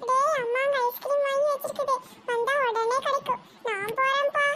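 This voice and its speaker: A performer voicing a bossy, teasing young girl in a cartoon comedy, with a nagging, pitched-up voice. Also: steady tone, brisk. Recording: loud noise behind the voice.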